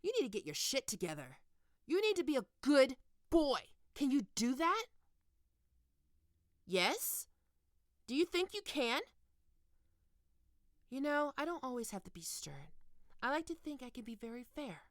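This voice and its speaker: A woman's voice: high-pitched, big pitch swings, measured pace, pauses often, no echo, clean studio sound. A woman playing a stern, taunting goth college professor in an ASMR roleplay, with a scolding, condescending manner. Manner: scolding, condescending, dropping into breathy murmurs.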